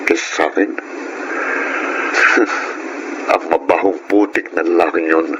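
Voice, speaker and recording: An actor with a Filipino accent playing a snobbish, jealous young suitor in a radio drama, with a condescending manner. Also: male voice, low voice, fairly even intonation, slow pace, a bit bright, lo-fi sound, slight background noise. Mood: brooding, resentful. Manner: smug, condescending.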